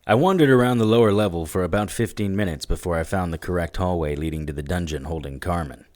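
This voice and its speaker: A man's voice: low voice, fast, a little mellow, no echo, studio quality, close to an everyday voice. An actor playing a world-weary, sardonic demon hunter who narrates his story in gritty, matter-of-fact noir style. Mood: wary narration. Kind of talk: first-person noir audio drama narration.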